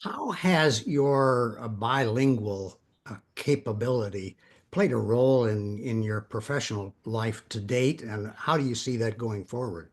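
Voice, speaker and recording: A man working as an interviewer, putting formal questions in deliberate, halting phrases. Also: mid-range voice.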